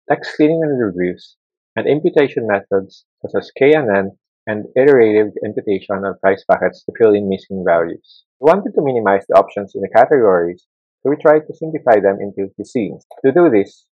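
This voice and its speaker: A man presenting a data science project on a video call, reading at a measured pace. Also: on the low side, husky, no echo. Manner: measured reading with small restarts. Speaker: student presenter. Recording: dull lo-fi laptop mic.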